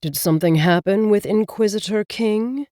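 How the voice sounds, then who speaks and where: A woman voicing a concerned male talking cat, gentle and slow. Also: medium pitch, dry recording, clean studio sound, close to an everyday voice.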